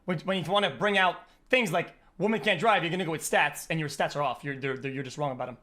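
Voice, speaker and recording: Fast, high-voiced stammering male streamer. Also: pushed and bright. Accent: French Canadian. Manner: rapid, restarts words, stammering. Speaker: streamer and debate host. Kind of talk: heated casual debate.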